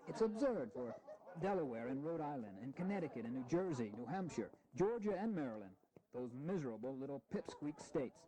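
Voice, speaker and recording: An actor playing an urbane, intellectual American diplomat in a historical audio drama, articulate, measured and gently teasing. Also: male voice, mid-range voice, lightly acted, low-quality recording.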